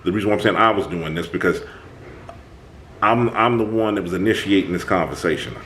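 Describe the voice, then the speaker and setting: A man hosting his own channel, talking through a personal conflict in an emphatic, animated way. His voice is booming, and he often restarts his sentences. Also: brisk, a little hiss or hum. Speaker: channel host. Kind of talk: reflective self-talk monologue.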